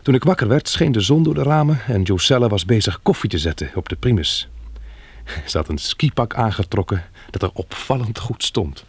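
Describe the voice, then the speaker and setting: Radio drama lead: measured, pragmatic survivor, slightly low and animated. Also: male voice, breathy edge, no echo. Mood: reflective. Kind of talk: radio drama dialogue and narration.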